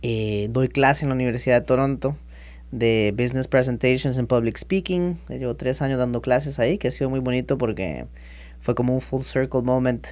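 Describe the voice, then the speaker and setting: Costa rican guy in his 30s, guest on a podcast, tells his story with a lot of energy, medium-pitched voice. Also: normal speed, a little mellow. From Costa Rica. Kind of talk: casual interview talk.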